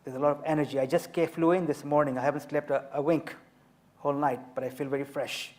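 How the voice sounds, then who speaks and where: A man in his fifties, from Tanzania, telling his story as an author and speaker on a festival panel, measured and emphatic. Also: on the high side, little pitch movement, darkish tone. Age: 50s.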